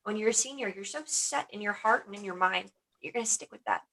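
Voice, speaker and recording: A woman's voice: mid-range voice, brisk, pushed and bright. An enthusiastic young woman, an engineering student, talking on a panel. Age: young adult. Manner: enthusiastic, upbeat. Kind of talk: panel discussion.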